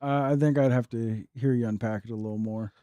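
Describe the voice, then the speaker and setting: A man's voice: mid-range voice, fairly even intonation, a little fast, mellow, dry recording, muffled recording. A man hosting a call-in comedy show, making dry, wry remarks. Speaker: host. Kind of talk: casual chat.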